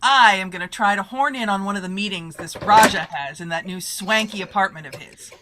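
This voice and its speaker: A woman's voice: mid-range voice, fairly quick, noisy background, natural voice. A woman playing a brash, bossy press photographer in old-time radio style, with snappy, slangy wisecracks. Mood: breezy.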